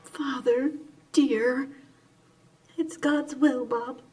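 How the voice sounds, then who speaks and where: A woman playing a loving, sorrowful wife, tender and drawn-out, in an old-time radio drama. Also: sits high, steady tone, darkish tone, recorded from a distance. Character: loving, sorrowful wife consoling her husband.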